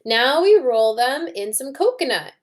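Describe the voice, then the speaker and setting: Kindly female storybook cooking teacher, read by a woman: cheerful, measured, clear instructions for young children. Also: sits high, sing-song, pitched above the performer's own voice. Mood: bright, instructing.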